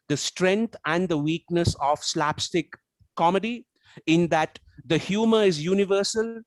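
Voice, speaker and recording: A man's voice: a little high, dry recording. A man whose first language is Tamil, speaking as a guest in a casual analytical discussion, building careful arguments with frequent repeats and restarts. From South Asia, Tamil-speaking region. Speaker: guest reviewer on a book discussion.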